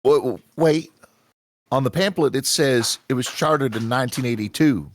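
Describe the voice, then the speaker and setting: A man's voice: medium pitch, normal speed, slight background noise, natural voice. A man playing a sceptical, exasperated tourist who objects incredulously, in a comedy table read. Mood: doubtful. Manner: interrupting, incredulous. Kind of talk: live table read of a comedy radio show.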